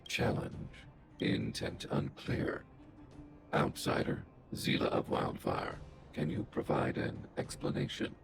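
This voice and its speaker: An actor voicing a huge, calm underworld robot boss who speaks in flat, clipped, computer-like reports through a robotic filter. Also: male voice, slow, exaggerated voice. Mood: flat computing. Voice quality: deep, gravelly, processed. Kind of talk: studio video game voice acting. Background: game music.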